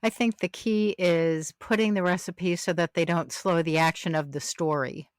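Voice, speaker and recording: A woman's voice: on the low side, fairly even intonation, normal speed, dry recording. A woman hosting a casual podcast interview in a conversational way.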